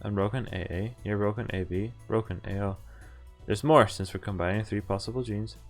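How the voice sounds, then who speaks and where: A man's voice: fairly low pitch, steady tone, brisk. A man streaming a visual novel, reading it aloud with casual, amused asides. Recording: background game music behind voice. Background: game music.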